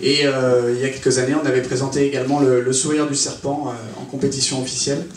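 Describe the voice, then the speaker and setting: A man hosting a question-and-answer session on a cinema stage, with a halting, hesitant delivery. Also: mid-range voice, flat delivery. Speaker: festival presenter and interviewer. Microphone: handheld mic through PA, far camera. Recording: echoey cinema, distant camera mic, faint noise.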